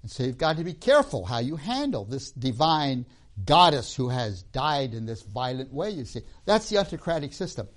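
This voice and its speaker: A man's voice: medium pitch, sing-song, moderate pace. A man who grew up in Tanzania giving a storytelling lecture on religion and myth, often ending points with a tag question.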